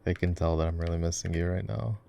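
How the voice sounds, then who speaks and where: A man acts out an easygoing gamer boyfriend with a fairly deep voice full of vocal fry. He chats casually and affectionately, teasing his partner as he coaches in a roleplay over gameplay. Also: fairly even intonation, fast, mellow. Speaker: voice actor. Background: keyboard typing and mouse clicking.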